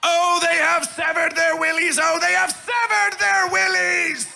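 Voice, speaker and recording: A man emcees a festival stage in a strikingly high, piercingly bright voice with a gravelly rasp. He draws each line out slowly, chanting and shouting with theatrical flair and broken grammar. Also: little pitch movement, faint music behind. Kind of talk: live stage hype announcing.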